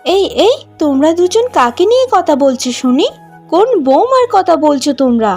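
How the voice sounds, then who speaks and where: Woman as young cartoon bride, vain, haughty, high-pitched, dark soft-edged tone, affected delivery. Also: big pitch swings, normal speed, faint music behind, heavily acted. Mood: scolding, threatening. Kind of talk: cartoon voice acting.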